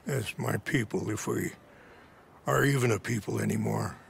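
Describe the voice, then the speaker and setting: Grave old chief, very gravelly. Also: male voice, on the low side, slight background noise. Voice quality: gravelly, measured.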